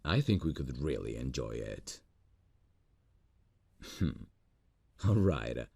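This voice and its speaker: Earnest lovesick boss, played by a man: husky, dry close-mic sound, lively pitch. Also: no echo, full character voice. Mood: tender. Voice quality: deep gravelly voice. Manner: soft, intimate, slow and earnest. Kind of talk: ASMR roleplay audio.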